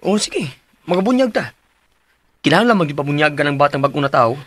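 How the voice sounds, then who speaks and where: An actor with a Cebuano Filipino accent playing a hesitant, easily startled husband in a radio drama, husky-voiced. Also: male voice, on the high side, animated, fast talker, fairly bright tone, dry recording.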